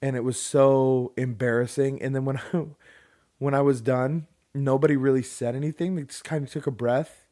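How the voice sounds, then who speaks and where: A man's voice: mid-range voice, moderate pace. Brief: man, late 30s, casual podcast co-host, rambling storyteller, mid-range voice. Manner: rambling, animated, acts out quoted people.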